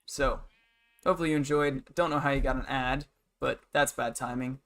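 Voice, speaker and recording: Guy in his 20s just rambling on stream, blunt grumbly venting, normal mid pitch. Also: steady tone, normal speed, a bit bright. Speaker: game livestreamer. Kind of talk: casual rambling stream chat, venting about work.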